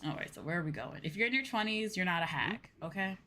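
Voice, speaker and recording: Blunt, playful streamer woman, lowish voice. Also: animated, fast talker, pushed and bright, a little hiss or hum. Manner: blunt, profane, playful, emphatic. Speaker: VTuber streamer. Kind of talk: casual game livestream chat.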